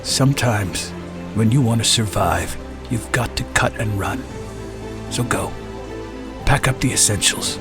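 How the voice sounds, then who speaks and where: A man's voice: on the low side, unhurried, long pauses, natural voice. A man playing an anxious, emphatic older mentor of a young squad in a sci-fi audio drama. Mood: alarmed, stern. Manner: emphatic, urgent, theatrical. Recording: music behind the voice.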